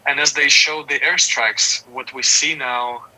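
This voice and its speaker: A man's voice: mid-range voice, fairly even intonation, a little slow, very noisy. A man in his thirties from Ukraine, an interview guest explaining things earnestly and in detail, often saying "you know". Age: 30s. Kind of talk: recorded interview.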